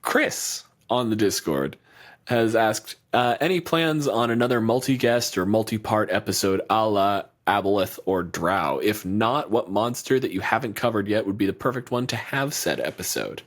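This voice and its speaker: A man's voice: fairly low pitch, animated, moderate pace. A man co-hosting a podcast in casual chat, blunt and profane, riffing with lots of energy. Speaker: podcast co-host.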